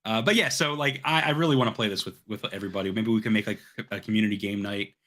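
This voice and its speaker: A man's voice: on the low side, quick pace, pushed and bright. A man hosting a gaming podcast, chatting in an eager, informal way and swearing freely. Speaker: podcast host. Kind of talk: casual gaming podcast chat.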